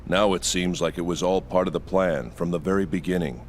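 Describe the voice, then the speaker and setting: An actor playing a grave, analytical man in a sci-fi video game, speaking in a measured, brooding manner. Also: deep voice, steady tone, a little hiss or hum, close to an everyday voice. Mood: grave, suspicious.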